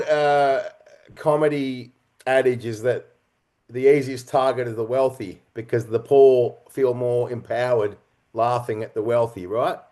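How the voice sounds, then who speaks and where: A middle-aged man speaking as an interview guest, telling stories in a reflective, halting and earnest way. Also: mid-range voice, darkish tone. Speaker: interview guest, writer, director and performer.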